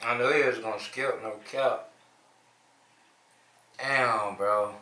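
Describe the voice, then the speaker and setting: A man's voice: medium pitch, fairly even intonation, takes it slowly, reverberant. A man in his twenties with a Midwestern American accent, chatting casually and joking with strangers on a video chat app. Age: 20s. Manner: slangy, joking, sings and shouts at times.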